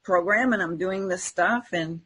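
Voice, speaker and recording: A woman's voice: lowish voice, a little slow, fairly bright tone, husky. A woman in casual podcast conversation, telling personal anecdotes and acting out bits of dialogue.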